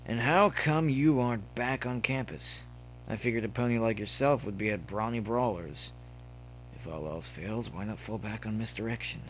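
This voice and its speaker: A man's voice: on the low side, moderate pace, a little hiss or hum, close to an everyday voice, poor audio. A man voicing a proud, cheeky young male college pony in a teasing, theatrical manner for a story. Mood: probing. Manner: teasing, theatrical, mock-camp.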